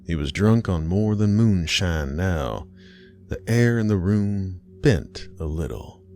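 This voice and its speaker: A deep-voiced American man tells a scripted horror story over music. His delivery is very slow and deliberate, with a folksy, ominous drawl, like a host sharing a dark tale by the fire. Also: expressive. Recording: music bed behind the voice.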